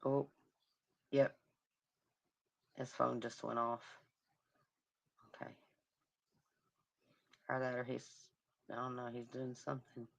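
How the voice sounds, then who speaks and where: A woman hosting a casual livestream, commenting on a crime case in a hoarse voice as she loses it and humming to herself. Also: very deep voice, level tone, slow pace, long pauses, low-quality recording. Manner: murmuring, sympathetic, hums to herself. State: hoarse, losing her voice. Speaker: livestream host.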